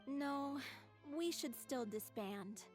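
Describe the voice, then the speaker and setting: A woman voicing a frail young stage performer in a video game, gentle, resigned and quietly stubborn, with a weary delivery. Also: high voice, animated, slow pace, faint music behind. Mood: gentle, firm.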